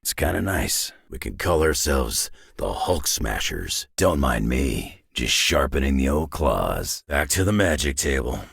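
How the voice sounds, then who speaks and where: A battle-worn older brawler for a video game, with an extremely deep, raspy voice. He is sardonic and terse but loyal, talking like a folksy tough guy. His delivery swings widely in pitch, from low rumble to bark. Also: sing-song, a little slow, hardly any pauses, fairly bright tone, dry recording, studio quality, full character voice. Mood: wry, low. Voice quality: deep gravelly rasp. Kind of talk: video game character voice lines.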